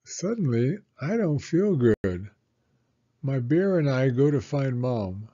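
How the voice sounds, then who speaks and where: A man's voice: mid-range voice, muffled recording. Older man reading a children's storybook. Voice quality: gentle, expressive storyteller reading in a slow, sing-song way. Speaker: storytime host, retired-age teacher reading aloud. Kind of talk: children's read-aloud narration.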